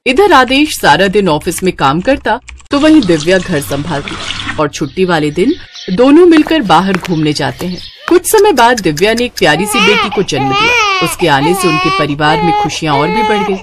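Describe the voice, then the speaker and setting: A woman's voice: on the low side, noisy background. A woman narrator reading a scripted moral story.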